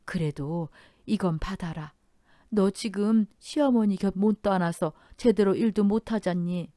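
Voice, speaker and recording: A woman voicing a caring older mother who speaks slowly and gently. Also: medium pitch, no echo, studio quality, natural voice. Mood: gentle.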